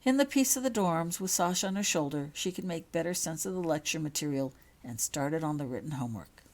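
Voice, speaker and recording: Woman, low voice, author reading fiction, scripted storytelling. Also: expressive, a little fast.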